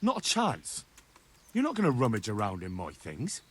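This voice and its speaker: Husky, low-voiced young medieval soldier. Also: male voice, huge pitch swings, normal speed, heavily acted. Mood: defiant. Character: young medieval soldier, earnest, plain-spoken, stubborn. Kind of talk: video game voice acting.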